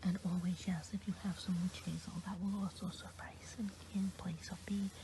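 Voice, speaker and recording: A woman voicing a kindly, chatty good witch of the woods, whispering softly and rambling in an ASMR roleplay. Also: lowish voice, flat delivery, moderate pace, background noise, lightly acted. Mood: gentle whisper. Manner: soft whispering, rambling gossip. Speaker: ASMR performer. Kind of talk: ASMR roleplay eye exam.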